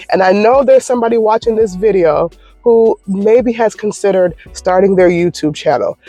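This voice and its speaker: A woman's voice: medium pitch, a little mellow, dry recording. A woman in her thirties from Michigan, chatting through a casual question-and-answer vlog from her parked car in an upbeat, encouraging way. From Michigan, United States. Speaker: YouTuber. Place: parked car in a parking lot.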